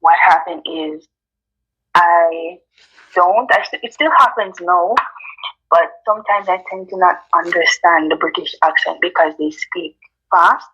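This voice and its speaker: A woman's voice: fairly low pitch, measured pace, pushed and bright, husky, lo-fi sound. A woman from Jamaica with a Jamaican accent, a guest chatting casually in an interview, talking easily with many ums and you-knows.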